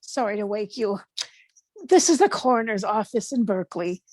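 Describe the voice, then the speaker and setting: A woman playing a formal, brisk clerk from a coroner's office, calling on the telephone. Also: medium pitch, lively intonation, darkish tone, no room sound, poor audio. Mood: businesslike.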